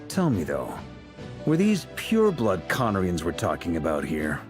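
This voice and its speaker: Easygoing commander with lively delivery. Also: male voice, deep voice, normal speed, loud music behind the voice. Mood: composed. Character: easygoing, confident older male commander. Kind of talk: video game voice acting.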